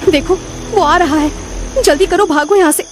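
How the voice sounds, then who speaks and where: Man voicing a shrill, frantic ghostly woman. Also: moderate pace. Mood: panicked warning. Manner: urgent, pleading cries. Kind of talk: horror cartoon story acting.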